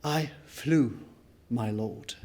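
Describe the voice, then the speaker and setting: A man giving a dramatic literary reading of a first-person account, solemn and deliberate, with weighty pauses. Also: medium pitch, darkish tone. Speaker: reader at a book launch.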